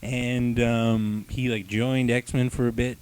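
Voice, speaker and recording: A man's voice: mid-range voice, little pitch movement, slow, darkish tone, clean tone. A man hosting a casual comic book review show, talking in a slangy, profane, rambling way. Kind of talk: casual banter.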